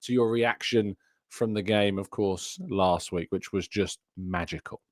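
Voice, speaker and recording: A man's voice: fairly low pitch, fairly even intonation, unhurried, dry recording, clean studio sound. A young man hosting a casual football podcast from a home room over a video call, chatty and self-deprecating, laughing often. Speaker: host. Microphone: studio mic on desk with headphones.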